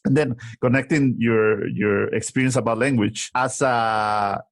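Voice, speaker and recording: A man's voice: medium pitch, fairly even intonation, unhurried, no echo. A man from Mexico, a native Spanish speaker with a Mexican accent, interviewing his guest in a thoughtful, reflective way and often checking in with "right?".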